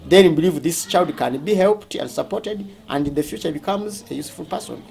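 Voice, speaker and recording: A man with a Ugandan accent speaking as a school representative, explaining things during a tour in a halting, deliberate way, often rephrasing. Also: on the high side, vocal fry, darkish tone.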